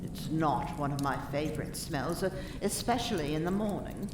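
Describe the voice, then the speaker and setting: A woman's voice: sits low, expressive, normal speed. A woman playing a prim, careful lady witness in a radio mystery, recalling details precisely and earnestly with some hesitation. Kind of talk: radio play acting. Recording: echoey, distant, noisy room.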